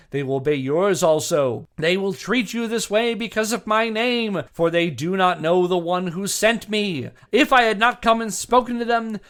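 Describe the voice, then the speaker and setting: A man's voice: high voice, a bit bright. A man playing an indignant, self-righteous attorney delivering a defiant speech in grandiose, preachy oratory, as a dramatic reading. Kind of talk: dramatic reading of a legal statement.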